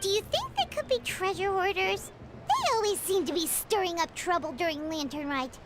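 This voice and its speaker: A woman voicing a tiny, bold, excitable fairy companion in a video game, with a squeaky childlike voice and exclamatory delivery. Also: moderate pace, heavy background noise. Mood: suspicious. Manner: speaks of self in third person, exclamatory. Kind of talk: animated video game voice acting. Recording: game music behind the voice.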